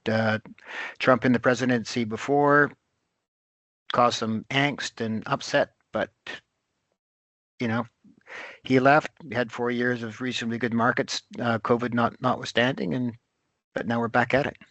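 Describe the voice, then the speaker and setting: A man hosting an interview podcast, speaking haltingly with many pauses and fillers. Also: mid-range voice, fairly even intonation, fairly bright tone, no room sound. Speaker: host.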